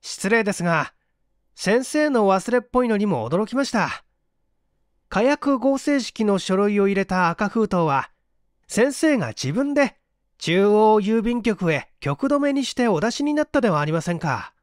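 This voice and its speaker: A man voicing an earnest, quick-witted young male assistant who speaks urgently and politely in a dramatic story reading. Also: sits high, sing-song, no echo, studio quality. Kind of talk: dramatic audiobook character reading.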